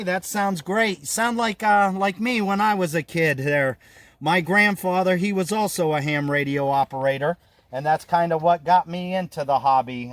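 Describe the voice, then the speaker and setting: An American man in his fifties chatting casually as an amateur radio operator, with a friendly, folksy, talkative manner. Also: fairly high pitch. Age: 50s. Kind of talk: casual ham radio conversation. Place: outdoors on a mountain trail.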